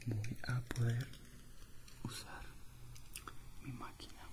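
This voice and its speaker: A man in his twenties doing a gentle, soothing ASMR barber roleplay in an unhurried, breathy whisper, close to the mic. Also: medium pitch, flat delivery. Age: 20s. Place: indoor barbershop.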